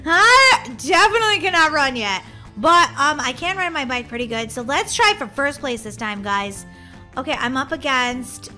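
The woman you'd hear on a kids' gaming channel, girlish and dramatic, her high voice leaping about as she reacts to every move of the game. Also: bright, forceful tone. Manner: exclamatory, squealing, dramatic, girlish. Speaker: gaming video host. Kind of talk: excitable game commentary.

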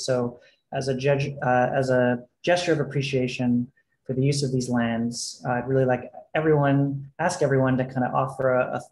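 Man moderating a roomy video-call panel. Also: medium pitch. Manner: relaxed, filler-heavy. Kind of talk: online panel discussion. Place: home room, video call. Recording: roomy video call audio with faint street noise. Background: faint street noise.

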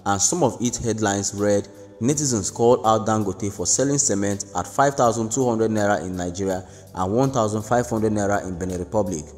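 Man, news narrator, low voice, steady read-aloud delivery, long reverb, faint music behind.

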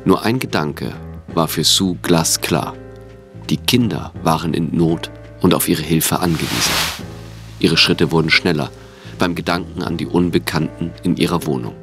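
A man's voice: rumbling low, normal speed. A man reading scripted narration for an audio drama. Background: music.

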